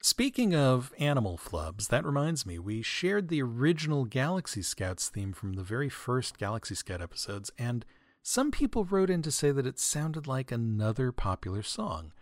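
A man with a very lively, swooping delivery and a mid-pitched voice hosts a comedy podcast. He reads scripted material with asides, mock-earnest and tongue-in-cheek, in a close, dry recording. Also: normal speed, dry recording. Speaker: podcast host reading listener mail. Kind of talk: scripted comic narration with asides.

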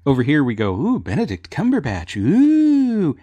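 A man chatting casually on a panel, telling stories in an animated way and acting out people's reactions. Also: fairly high pitch, normal speed, muffled recording. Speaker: podcast panelist and radio drama writer.

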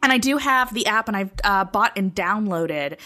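A woman's voice: mid-range voice, expressive, normal speed, pushed and bright. A woman talking casually and enthusiastically as a podcast panelist, in a chatty way.

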